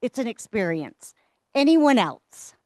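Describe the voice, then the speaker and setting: Lively upbeat woman running the team meeting, dry sound. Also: a little high, takes it slowly, dry recording. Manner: upbeat and encouraging. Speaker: meeting leader.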